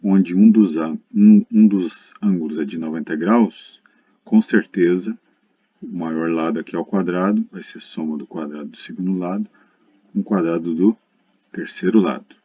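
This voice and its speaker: Low, husky Brazilian male lecturer. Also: moderate pace. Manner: didactic, addresses students directly. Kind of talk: recorded math lesson, explanatory. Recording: dull lo-fi muffled recording.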